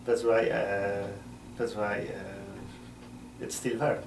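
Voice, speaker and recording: A middle-aged man, a missionary priest answering interview questions in a measured way, often pausing to find words. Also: fairly low pitch, little pitch movement, vocal fry, background noise. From Poland. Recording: roomy sound from a distant mic.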